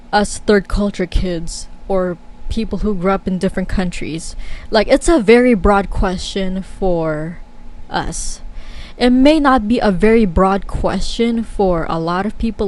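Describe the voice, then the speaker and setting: A woman's voice: medium pitch, darkish tone, poor audio. A young woman talking casually to camera as a vlogger, chatty and candid with many fillers and frequent laughs.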